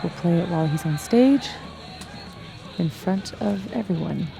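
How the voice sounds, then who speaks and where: A woman's voice: lowish voice, expressive, unhurried, creaky, over music, heavily acted. A woman playing a bold, sardonic, vengeful young woman in a video game, speaking with emphasis. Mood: resolute. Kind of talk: naturalistic video game acting.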